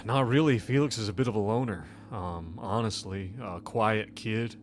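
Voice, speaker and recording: A man playing a distressed, defensive gas station manager in a tabletop game, halting and repeating himself. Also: lowish voice, close to an everyday voice. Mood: distressed, baffled.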